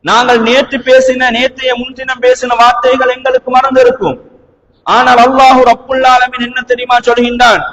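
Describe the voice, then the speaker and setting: A man's voice: very high-pitched, flat delivery, moderate pace, fairly bright tone, muffled recording. A man with a Sri Lankan Tamil accent preaching a sermon emphatically, leaning on rhetorical questions. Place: mosque hall. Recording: long hall reverb.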